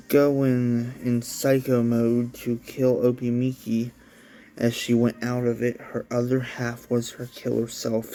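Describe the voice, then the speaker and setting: Slow, mellow man reading aloud. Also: mid-range voice, poor audio. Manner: slow, offhand storytelling. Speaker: channel host reading his own story. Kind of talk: casual read-along narration.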